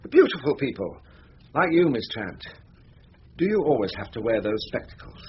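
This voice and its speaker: A man's voice: medium pitch, natural voice. Swooping, flirtatious posh English barrister played by a man. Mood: oily flirting. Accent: upper-class English. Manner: oily, gushing, drawn-out flattery. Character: flirtatious, pompous middle-aged male barrister, smooth and anxious. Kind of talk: British radio comedy-drama acting. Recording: dull lo-fi radio recording, background noise.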